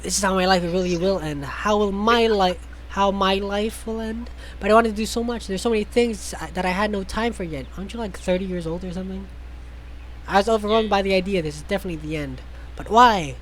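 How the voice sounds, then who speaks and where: High-voiced male reader, hammy and sarcastic, tripping over words, faint noise behind. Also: fairly quick, rough recording. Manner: theatrical, sarcastic asides, stumbles over words. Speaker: host reading a visual novel aloud. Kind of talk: mocking dramatic read-aloud with ad-lib commentary.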